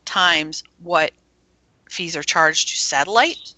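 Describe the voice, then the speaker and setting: A woman who runs an internet radio station, chatting as a guest, animated and laughing often as she explains things. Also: sits low, slow pace, background noise. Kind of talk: casual interview chat.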